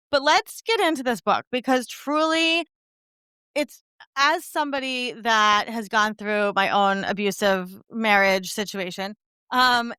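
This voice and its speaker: A woman's voice: on the high side, pushed and bright, smooth, no room sound. A middle-aged woman hosting a podcast, interviewing in an effusive, informal, conversational way. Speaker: podcast host.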